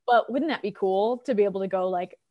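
A woman's voice: medium pitch, brisk, highs cut off. An American woman in her thirties chatting informally as a guest in a casual video-call interview. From Colorado, United States. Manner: chatty, informal, many likes. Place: living room, indoors. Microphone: wired earbuds on a video call.

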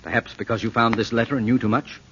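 An actor playing an earnest, protective detective, questioning urgently and reassuringly in a 1940s radio drama style. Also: male voice, mid-range voice, level tone, fast talker, fairly bright tone, close to an everyday voice. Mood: grave. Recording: muffled, noisy old radio recording.

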